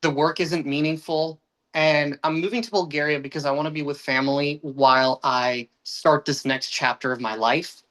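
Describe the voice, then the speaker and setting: A man's voice: a little high, fairly even intonation, moderate pace, fairly bright tone, low-quality recording. Earnest young man, podcast guest. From United States. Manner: earnest, with frequent restarts and uneven pacing. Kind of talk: casual podcast conversation.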